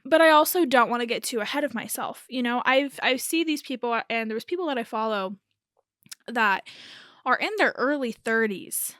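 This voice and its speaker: Hoarse, raspy young woman rambling casually. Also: a little high, lively intonation, normal speed, fairly bright tone, no room sound, clean studio sound. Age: early 20s. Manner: rambling, self-correcting, laughs nervously. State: hoarse from a lingering cold. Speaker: podcast host. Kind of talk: casual solo chat.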